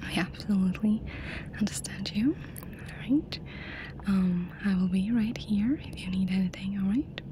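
A woman in her thirties whispers breathily and gently in a soft-spoken ASMR roleplay as an attentive train attendant. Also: mid-range voice, moderate pace. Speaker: ASMR performer playing a first-class train attendant. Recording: steady ambient noise behind the voice. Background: clear ambient noise.

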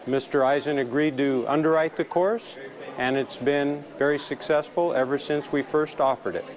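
A man with a slightly high voice speaks as a university dean. He is explaining things in an interview answer. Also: fairly even intonation, moderate pace.

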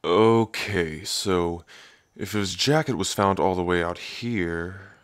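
Man acting a deep, brooding, slow-spoken werewolf. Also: dry recording. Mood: guarded, weary. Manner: brooding, guarded, close and intimate. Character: gruff, protective, hot-tempered male werewolf lover. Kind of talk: ASMR boyfriend roleplay audio drama.

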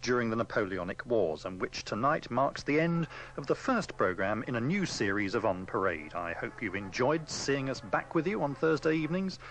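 A man's voice: medium pitch, big pitch swings, normal speed, hardly any pauses, a bit bright, low-quality recording. A man presenting on radio, reading a scripted announcement.

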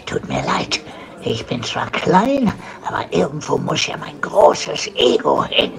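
Gravelly, cheeky little bird. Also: male voice, fairly high pitch, sing-song, measured pace, exaggerated voice. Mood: nervous, whiny. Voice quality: creaky, gravelly. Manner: bickering, whiny. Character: small cheeky bird with a big ego, nervous grumbler. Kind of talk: comic audio drama acting.

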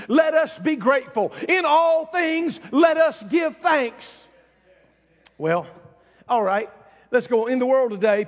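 Casting: male pastor, sixties, very high-set voice, exhorting sermon delivery, reverberant church hall. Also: fairly bright tone. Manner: emphatic, exhorting, conversational asides. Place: large church stage, indoors.

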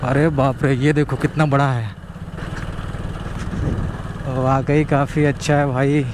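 A young man giving casual, excited running commentary to viewers while riding a motorbike outdoors. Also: mid-range voice, takes it slowly. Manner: excited, chatty, talks to viewers. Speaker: travel vlogger. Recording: road and traffic noise behind.

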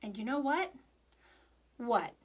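A woman voicing a gentle, reassuring father who soothes and explains in a read-aloud storybook. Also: fairly high pitch, sing-song, slow, exaggerated voice. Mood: affectionate. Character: gentle, reassuring young father, a soldier leaving home.

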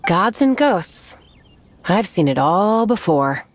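A woman playing a composed, shrewd innkeeper in a video game, knowing, teasing and unhurried. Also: on the low side, big pitch swings, noisy background. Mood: amused. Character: shrewd, composed innkeeper boss lady, coolly amused.

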